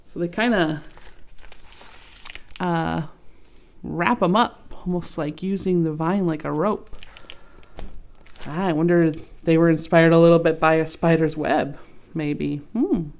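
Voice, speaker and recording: Slow, mellow woman reading to children. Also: low-pitched. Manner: gentle, asks listeners questions, adds comments. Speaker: children's story reader. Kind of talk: children's read-aloud.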